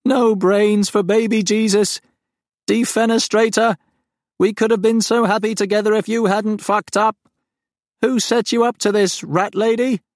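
A man's voice: steady tone, measured pace, no echo, clean studio sound. A man voicing a distraught, many-legged alien farm creature with a strained, unnatural voice, wailing and pleading melodramatically. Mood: mournful. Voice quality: high, strained, unnatural timbre.

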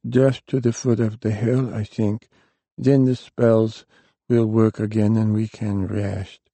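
A man's voice: on the low side, little pitch movement, slow, warm tone, no room sound, pitched above the performer's own voice. A man voicing an ancient, frail male warlock who is dying, with a thin, strained old voice, in an audiobook reading. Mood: weak.

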